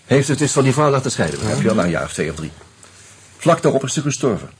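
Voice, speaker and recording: An actor playing an earnest, troubled man turned amateur sleuth in a Dutch radio play, insistent and halting as he reasons aloud. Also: mid-range voice, sing-song, a little hiss or hum, close to an everyday voice. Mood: brooding, intent. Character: earnest, agitated amateur sleuth, a murdered man's loyal friend.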